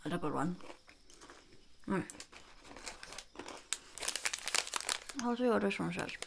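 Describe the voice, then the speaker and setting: A woman acts out a mischievous goblin peddler woman in a close-up ASMR roleplay. Her voice is whispery and heavily fried, with lively swings in pitch. She muses haltingly in a blunt, deadpan way, over faint background noise. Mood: playful, musing. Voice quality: breathy, creaky, whispery. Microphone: close ASMR microphone.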